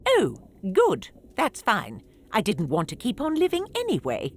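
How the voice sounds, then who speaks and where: A woman playing a feisty, sarcastic rodent-folk trader in a brisk audio drama performance. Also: medium pitch, huge pitch swings, a little hiss or hum. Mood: sardonic.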